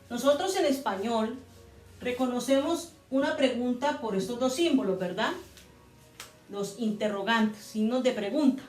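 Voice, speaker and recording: A woman's voice: fairly high pitch, roomy sound. A woman explaining English grammar step by step, patient and repetitive, like a teacher at a board. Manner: patient, repeats and spells out steps.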